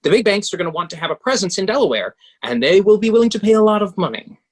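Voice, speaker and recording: A man playing a pragmatic, smooth-talking banker who coolly advises and persuades, in a table read of a stage musical. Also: high voice, animated, quick pace. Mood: persuasive, pressing.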